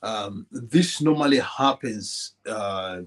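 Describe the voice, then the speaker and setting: A middle-aged man with a Zimbabwean accent, talking earnestly in a relaxed conversation, often repeating words as he searches for them. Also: fairly low pitch, slow pace. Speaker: podcast co-host. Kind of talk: relaxed conversational advice talk.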